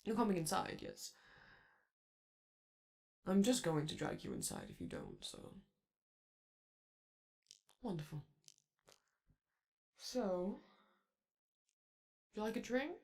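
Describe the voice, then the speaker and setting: Man performing a flamboyant, doting male robot celebrity for ASMR roleplay, theatrical and coaxing, lively pitch with a gravelly fry. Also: slow pace, pauses often, full character voice. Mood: coaxing, flirty. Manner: theatrical, coaxing, calls listener darling.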